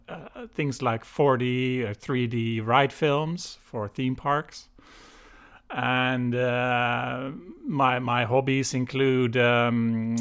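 A man's voice: mid-range voice, fairly bright tone, clean tone. A middle-aged Dutch man speaking English as a guest in a casual chat, hesitant and full of ums and uhs. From Netherlands.

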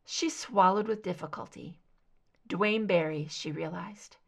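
A woman's voice: lowish voice, a little slow, vocal fry. A woman reading a scripted story aloud as an amateur audiobook narrator.

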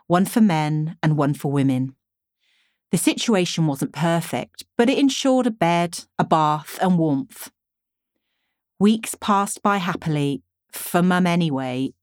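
A woman in her forties reads a personal memoir aloud as an author would for an audiobook. Her voice sits low for a woman. The sound is dry, with hardly any room around it. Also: a little mellow, no room sound. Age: 40s. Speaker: author reading own memoir. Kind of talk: scripted audiobook narration.

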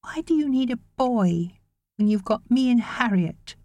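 A woman's voice: a little high, expressive, a little slow, darkish tone, no echo, pitched above the performer's own voice. A woman voicing a small, sullen, lonely girl with childlike delivery, in an audiobook story. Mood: sad.

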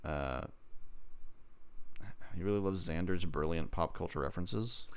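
A man's voice: low voice, unhurried, smooth. A man co-hosting a casual TV review chat, arguing his points in a sarcastic way. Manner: argumentative, sarcastic. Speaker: podcast co-host.

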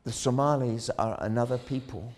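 A man preaching a sermon, telling a story dramatically, rising loud on key words and dropping low again. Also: steady tone, normal speed. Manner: dramatic, swells loud on key phrases then drops low.

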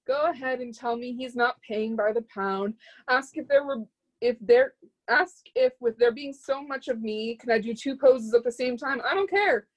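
A woman's voice: a little high, normal speed, lightly acted, low-quality recording. A woman playing a proud, zealous would-be artist's muse in a play reading, speaking with lofty, scornful emphasis. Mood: defiant pride.

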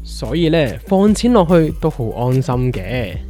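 A man with a Hong Kong accent playing an excitable, teasing veteran small investor in a comic radio drama, with slangy, theatrical delivery. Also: on the high side, a little slow, soft background music. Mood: explaining. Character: excitable male veteran retail stock trader, teasing know-it-all.

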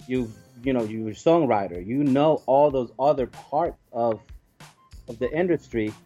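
Mellow-voiced man explaining in an interview. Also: medium pitch, a little slow, soft background music. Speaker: music conference organizer, interview guest. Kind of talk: casual explanatory interview talk.

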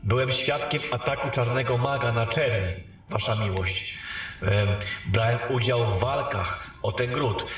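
Strong hall echo, distant, fantasy audio drama, man acting young soldier captain, earnest, defensive, average pitch. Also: measured pace, a bit bright, faint music behind, lightly acted. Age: young adult. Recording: echoey hall, distant from microphone.

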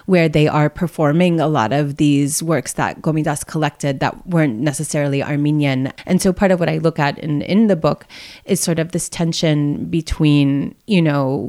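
A low-pitched young woman, a scholar discussing her book in an interview. She explains thoughtfully, often circling back with fillers and restarted phrases. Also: darkish tone. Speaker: author and music scholar. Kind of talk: academic interview.

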